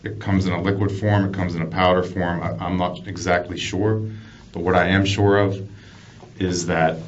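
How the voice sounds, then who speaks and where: An American man working as a police detective, questioning someone in a measured way with repeated, restarted phrases. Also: sits low, little pitch movement. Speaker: police detective conducting an interrogation. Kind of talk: investigative interview. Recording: muffled, noisy police interview room recording.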